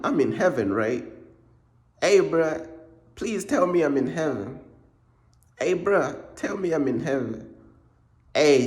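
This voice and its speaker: A young man from Uganda tells a story in a loose, rambling way, dropping "bro" into nearly every sentence. His voice sits in a middle pitch, and an echoey room rings around him. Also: darkish tone. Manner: laid-back, rambling, says "bro" constantly. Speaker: faith vlogger. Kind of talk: casual storytelling.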